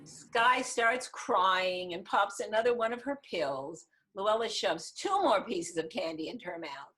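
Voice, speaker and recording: A woman's voice: medium pitch, animated, measured pace, sharp tone, poor audio. A woman narrating stage directions from a script at a table reading.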